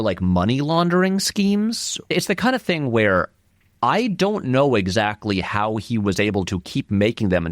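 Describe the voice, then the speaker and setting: A man's voice: medium pitch, no echo, clean studio sound. A man giving casual, conversational film commentary as an interview guest, often hedging and qualifying his points.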